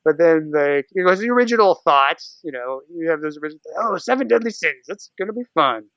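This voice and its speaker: A man's voice: a little high, lively intonation, fairly quick, a bit bright, clear, resonant tone, no echo, lo-fi sound. An American man chatting casually as a podcast guest, rambling and acting out his own thoughts as he goes. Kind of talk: casual podcast interview chat.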